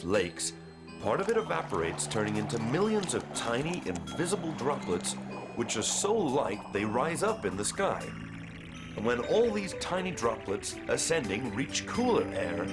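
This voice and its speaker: Music plays under a man narrating an educational children's cartoon. His voice is medium in pitch and swings very expressively as he explains, in a scripted storytelling style.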